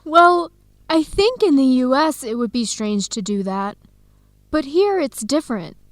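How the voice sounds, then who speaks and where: An actress playing an earnest young Ethiopian-student in a radio drama. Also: female voice, sits high, big pitch swings, a little slow, dry recording. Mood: explaining, defensive. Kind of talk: radio drama acting, simulated video call.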